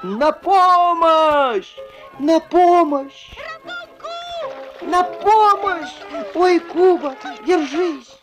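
A woman reading an expressive scripted voice-over for a children's cartoon, on an old film soundtrack. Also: very high voice, very slow, low-quality recording. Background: clear background music.

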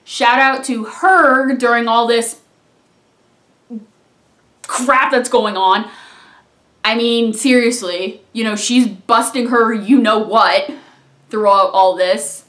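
A young adult telling a rambling personal story from a couch in a small living room, recorded by a camera a few feet away, trailing off into quiet asides. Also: mid-range voice, fairly even intonation, slow pace, pauses often. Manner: chatty, rambling, drops to mumbles and asides. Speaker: vlogger. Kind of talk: casual storytime vlog. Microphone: camera on a tripod a few feet away. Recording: echoey room.

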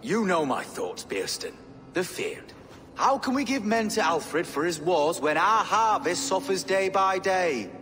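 An elderly, fretful Saxon thane in a game cutscene. His voice is high for a man and very animated, with hall reverb. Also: unhurried, soft background music, heavily acted. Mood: worried protest. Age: older. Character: worried, grumbling grey-bearded Saxon thane. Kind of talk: video game cutscene acting.

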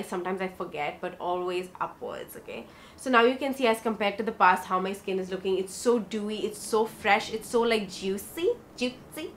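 A young woman from India with a South Indian accent, chatting casually through a makeup tutorial in her bedroom and slipping in Hindi. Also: mid-range voice. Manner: chatty and warm, mixing English with Hindi. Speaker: beauty vlogger. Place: bedroom, indoors.

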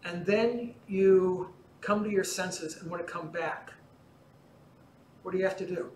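An older man with a fairly high voice teaches a parish class in an easy, conversational way, gesturing as he explains. His voice rings through a large church and is picked up by a far camera. A faint hum sits underneath. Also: unhurried, roomy sound. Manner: relaxed explaining, gesturing as he talks. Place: large church interior in front of icons.

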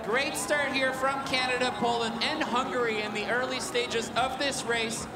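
A man giving play-by-play commentary on a canoe sprint final, pushing louder and faster through the finish. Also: very high voice, fairly even intonation, very noisy. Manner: builds to loud, fast calling in the finish. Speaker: race commentator. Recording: echoey outdoor broadcast with crowd and music.

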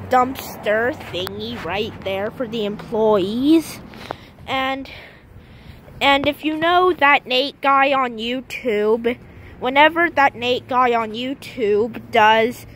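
A woman giving a halting, casual walk-through commentary as an amateur vlogger outdoors, often trailing off, on noisy handheld camera audio. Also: fairly high pitch. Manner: halting, trailing off mid-sentence. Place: outdoors in an empty parking lot. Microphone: handheld camera held by the speaker.

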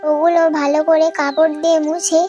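A woman voicing an arrogant, greedy middle-aged stepmother in a cartoon, scolding loudly and sneering. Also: sits high, level tone, fairly quick, soft background music, lightly acted. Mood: bossy scolding. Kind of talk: cartoon moral-story voice acting.

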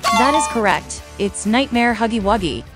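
A woman's voice: a little high, animated, moderate pace, loud music behind the voice. A woman hosting a quiz in scripted voice-over narration. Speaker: quiz host.

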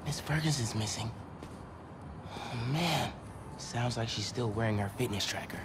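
A man's voice: medium pitch, moderate pace, noisy background, close to an everyday voice. A young man playing an earnest, friendly teenage student superhero with an American accent in a video game. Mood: worried, determined. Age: young adult. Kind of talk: cinematic video game voice acting. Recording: game music loudly behind.

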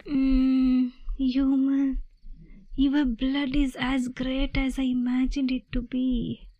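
A woman voicing a sweet, gentle vampire in a soft, hushed, reassuring ASMR roleplay, coaxing her human prey. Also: high-pitched, completely flat delivery, takes it slowly, muffled recording, a little hiss or hum. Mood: soothing.

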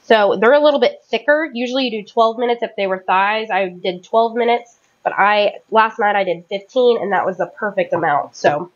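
A woman's voice: mid-range voice, moderate pace, hardly any pauses, dull audio. A woman in her thirties chatting casually and rambling as she cooks in her home kitchen, correcting herself as she goes. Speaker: home cooking vlogger. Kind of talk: casual chat while cooking.

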